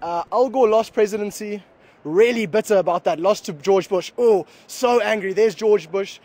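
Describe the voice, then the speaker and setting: A man's voice: sits high, normal speed, darkish tone. A man from South Africa, interviewed on a city street, telling a story animatedly and mocking others' voices. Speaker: passer-by interviewee.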